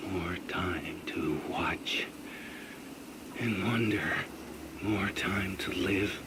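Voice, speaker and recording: A doomed male scientist dictates a video game audio log in an extremely low, breathy voice. He speaks slowly and haltingly, torn between dread and wonder, often sinking toward a whisper. Loud noise surrounds the recording. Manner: slow, halting, brooding. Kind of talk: scripted audio log monologue, dramatic game acting.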